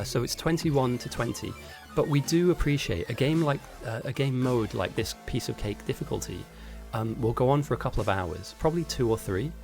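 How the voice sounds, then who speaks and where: A man with a hoarse voice, casting and chatting casually on a live game stream, rambling a little and answering chat. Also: medium pitch. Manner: chatty and rambling, with frequent restarts. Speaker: esports caster and streamer.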